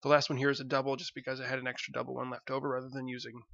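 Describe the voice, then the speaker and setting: A man's voice: mid-range voice, monotone, racing, gravelly, a bit bright, no room sound, dull audio. A young man narrating his home project step by step, plain and explanatory, with some fillers. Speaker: DIY video host.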